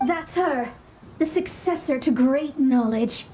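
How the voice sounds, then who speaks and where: A woman's voice: sits high, slow, warm tone, slight background noise, low-quality recording. An actress playing a proud, scholarly young woman astrologer in an anime game, speaking in formal, pompous phrases. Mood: self-assured, lofty. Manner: pompous, formal, theatrical diction. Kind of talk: anime video game voice acting.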